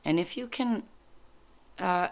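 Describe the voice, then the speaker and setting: A woman whose first language is French gives informal spiritual guidance as a card reader, reflective and halting, explaining things in short phrases. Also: lowish voice. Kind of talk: informal spiritual guidance, reading cards aloud and commenting.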